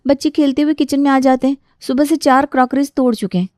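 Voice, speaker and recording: A woman voicing a young daughter-in-law, gossipy and prone to complaint, in an animated family story. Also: sits high, quick pace, dry recording, clean studio sound, pitched above the performer's own voice, natural voice. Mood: complaining.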